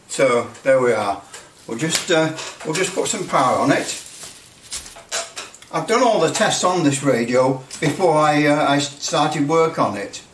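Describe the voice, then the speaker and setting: A man's voice: a little high, lively intonation, slow, fairly bright tone. Man in a roomy, distant recording. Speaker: hobbyist presenter. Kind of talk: casual hands-on workbench commentary. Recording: roomy, distant mic with light noise.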